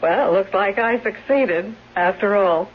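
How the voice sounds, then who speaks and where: An actress playing a scheming caregiver and secret lover in a 1970s radio drama, brisk, sardonic and hard-edged. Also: female voice, mid-range voice, breathy edge. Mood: coaxing, sly. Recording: dull, noisy, humming vintage radio recording.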